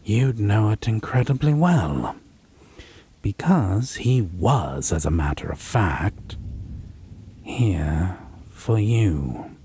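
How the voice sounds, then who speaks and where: A man playing a possessive, wounded vampire in a roleplay audio drama, speaking with mocking refinement, theatrical phrasing and a breathy, husky voice. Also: fairly low pitch, lively intonation, slow, mellow, exaggerated voice. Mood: menacing, knowing. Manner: pedantic, sardonic, theatrical, lingering phrasing.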